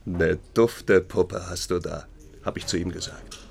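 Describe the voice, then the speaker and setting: An actor playing a guarded, streetwise workmate in a German radio crime drama, speaking in an offhand, colloquial way. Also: male voice, low voice, fairly even intonation, unhurried, vocal fry, darkish tone, slight background noise. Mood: offhand, reticent. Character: guarded, streetwise male workmate, plain-spoken.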